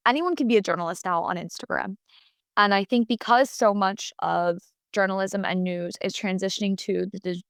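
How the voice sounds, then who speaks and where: A woman in her twenties from the United States talks through her answers as an interview guest. She is thoughtful and leans on fillers and restarts. Her voice sits at a middling pitch, and the recording is close and dry with no room sound. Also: a bit bright, clean tone, no echo. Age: 20s.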